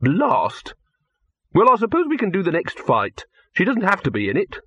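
A man's voice: sits high, huge pitch swings, normal speed, a bit bright, slightly rough, no echo, dull audio, pitched above the performer's own voice, exaggerated voice. A man voicing a fussy, exasperated film director who complains peevishly, as an audiobook character. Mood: wailing, grumpy.

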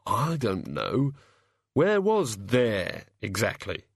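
A man voicing Bilious, a queasy, pitiful male god of hangovers who groans and mumbles haltingly. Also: lowish voice, huge pitch swings, breathy edge, no room sound, studio quality, heavily acted.

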